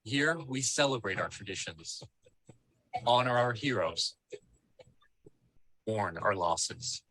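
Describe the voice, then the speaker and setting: Guy narrating a documentary, bright forceful voice, formal and measured, kinda solemn. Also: on the low side, lively intonation, no echo, low-quality recording. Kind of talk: scripted promotional documentary narration.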